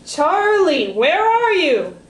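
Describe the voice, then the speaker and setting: Lady doing a snotty bratty sister from a funny story, high voice, snide, roomy sound. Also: sing-song, takes it slowly, exaggerated voice. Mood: snide, needling. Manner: snide, exclamatory. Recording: roomy echo with noise.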